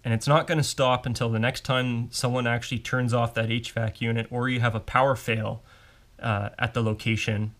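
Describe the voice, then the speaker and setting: A man's voice: medium pitch, little pitch movement, normal speed, fairly bright tone. A man giving a casual, step-by-step technical demonstration as an instructor at a workbench. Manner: informal, explanatory, step-by-step. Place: indoors at a workbench.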